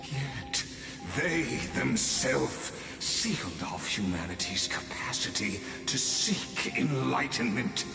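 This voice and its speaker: A man voicing a grandiose, scheming scholarly villain for a video game, speaking in a measured, theatrical way with lingering pauses. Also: sits low. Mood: bitter. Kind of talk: dramatic video game voice acting. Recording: echoey hall reverb, music underneath.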